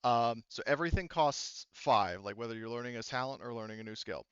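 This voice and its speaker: Male game master, smooth and informal, halting as he instructs; close, dry, slightly muffled sound. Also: medium pitch, fairly bright tone, dry recording. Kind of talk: explaining tabletop game rules, casual.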